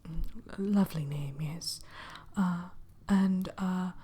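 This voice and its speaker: A woman playing a haughty, grudging witch innkeeper in a whispered ASMR roleplay, speaking in prim, old-fashioned phrases with hesitant pauses. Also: lowish voice, dark tone, slight background noise. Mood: businesslike, probing.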